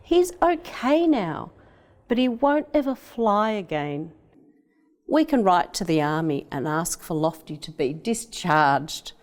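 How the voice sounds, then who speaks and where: A woman's voice: on the low side, very animated, takes it slowly. A woman voicing a kindly, proud Australian father in a children's storybook. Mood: reassuring.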